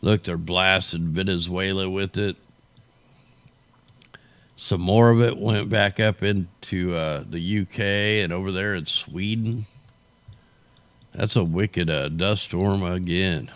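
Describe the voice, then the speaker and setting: A man's voice: deep voice, slow pace, long pauses, fairly bright tone, no room sound. A tired man with a worn-out voice hosting a livestream, giving rambling, slangy, off-the-cuff commentary. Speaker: livestream host. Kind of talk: casual off-the-cuff weather commentary.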